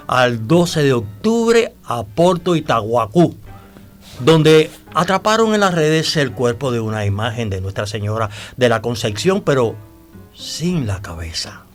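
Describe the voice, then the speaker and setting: A male actor plays a devout man with secrets to tell in a radio drama. His pitch moves in lively swings as he recounts things deliberately and dramatically, pausing and hesitating. Faint music sits behind the voice. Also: lightly acted. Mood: reverent, eager. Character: devout, talkative male storyteller revealing old secrets.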